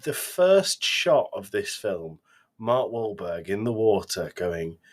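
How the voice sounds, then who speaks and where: A man's voice: on the low side, very animated, slow pace. A British man hosting a podcast in casual chat, sweary and sarcastic, often slipping into mocking quotes. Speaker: podcast host.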